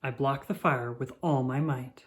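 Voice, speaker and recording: Expressive storybook narrator. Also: mid-range voice, a little slow. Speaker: children's book narrator. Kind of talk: read-aloud storybook narration.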